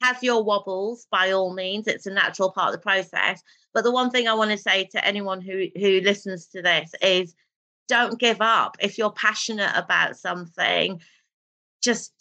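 A woman, a guest in an interview, telling stories in an animated way and laughing easily. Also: lowish voice. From Britain, raised in a Pakistani family. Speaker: guest, television drama writer. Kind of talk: casual interview talk.

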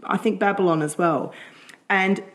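A woman co-hosting a talk show while half losing her voice, chatty and quick to laugh. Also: mid-range voice, steady tone. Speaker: podcast co-host. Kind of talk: casual chat.

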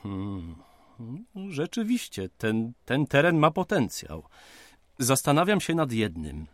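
An actor playing a polite, eager 19th-century surveyor, speaking formally and courteously in a radio drama. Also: male voice, mid-range voice, huge pitch swings, slow pace, no echo. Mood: keen, courteous.

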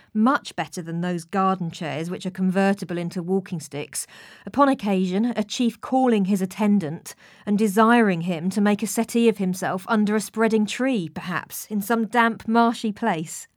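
A woman's voice: medium pitch, no echo. A woman reading literary fiction aloud as a scripted audiobook narration. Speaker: audiobook reader. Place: recording studio. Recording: dry studio recording.

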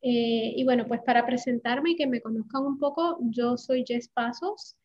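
A woman in her forties with a light Puerto Rican accent, a guest coach talking earnestly in a casual interview, often pausing on fillers. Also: fairly high pitch, a little mellow, lo-fi sound. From Puerto Rico. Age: 40s. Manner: earnest, fluent, with many fillers.

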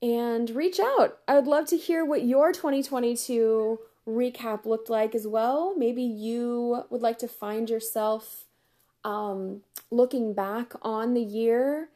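A woman talking casually to her podcast listeners in a fairly high voice. Her voice is going hoarse, and she keeps it chatty and upbeat, laughing often. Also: fairly even intonation, slow pace, mellow. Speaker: podcast host. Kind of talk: casual solo podcast chat.

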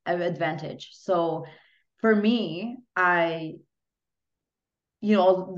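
Lower-voiced woman, slightly muffled audio. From Hungary. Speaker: podcast guest. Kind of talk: casual interview conversation. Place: remote online podcast call.

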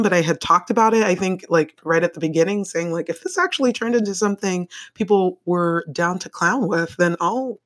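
A woman with a deep, raspy voice hosting her own podcast, talking casually, laughing often and swearing freely. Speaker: podcast host. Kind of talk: casual solo podcast monologue. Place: home bedroom. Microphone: microphone on a stand, held in hand.

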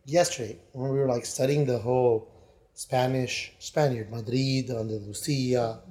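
A man talking casually on a podcast in a mellow, warm voice. He rambles a little and restarts his sentences often, and sometimes puts on voices to quote people. His pitch sits in an ordinary middle range. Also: steady tone, a little slow. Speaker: podcast co-host. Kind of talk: casual chat. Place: Miami.

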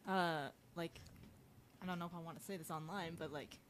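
A woman's voice: on the low side, fairly even intonation, brisk. A woman in her twenties from Pittsburgh, a podcast guest introducing herself hesitantly. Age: 20s.

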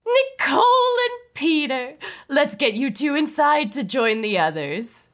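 A woman acts out a beaming hostess in her forties, gushing and a little too welcoming. Her voice sits very high and swoops brightly, with a faintly sinister sweetness, as in a comedy script read aloud. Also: big pitch swings, unhurried, full character voice. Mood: gushing, effusive. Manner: gushing, effusive.

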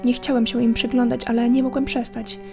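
Even, higher-pitched woman narrating horror. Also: brisk. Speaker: horror podcast host and narrator. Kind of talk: scripted horror story narration. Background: faint music.

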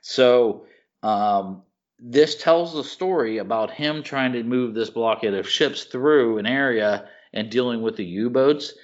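A man's voice: medium pitch, measured pace, highs cut off. An American man co-hosting a podcast, chatting casually in a blunt, emphatic, slangy and opinionated way. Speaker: podcast co-host. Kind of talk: casual chat about movies and TV.

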